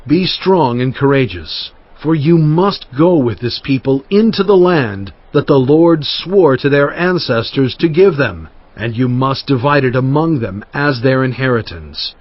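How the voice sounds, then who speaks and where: Moses, husky and fervent. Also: male voice, medium pitch, lively intonation, unhurried, dry recording. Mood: exhorting. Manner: earnest, exhorting, emphatic. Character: Moses, aged male prophet and leader. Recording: muffled lo-fi audio with faint music.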